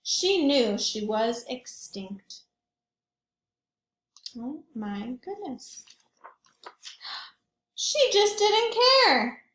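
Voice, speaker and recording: A woman's voice: high-pitched, big pitch swings, extremely slow, long pauses, a bit bright, echoey room. A woman reading picture books aloud to young children, expressively and with questions for her listeners. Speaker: children's read-aloud host, teacher or librarian.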